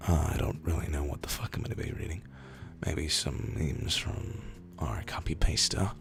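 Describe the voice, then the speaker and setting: A man with a hoarse, raspy, rumbly voice, reading stories aloud in an unhurried, drawn-out way with pauses. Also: over music. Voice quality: raspy, rumbly, gravelly fry. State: hoarse from days of screaming. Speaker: narrator reading internet posts. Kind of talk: slow, low dramatic reading of short stories.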